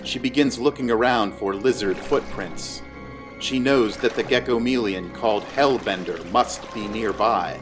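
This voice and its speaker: A man reading scripted fantasy narration in a measured storytelling manner. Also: on the low side, music in the background.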